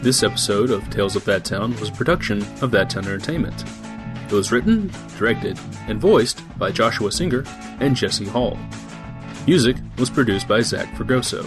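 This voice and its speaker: A man's voice: fairly low pitch, lively intonation, moderate pace. A man reading scripted end credits as a show announcer. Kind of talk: scripted narration. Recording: guitar music behind voice.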